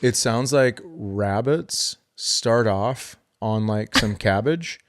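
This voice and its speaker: A man co-hosting a podcast, telling gross stories in a lively, joking way while getting over being sick. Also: fairly low pitch, takes it slowly, noisy background. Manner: animated, graphic storytelling with playful teasing. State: recovering from illness, sore ribs. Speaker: podcast co-host. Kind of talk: casual comedic chat.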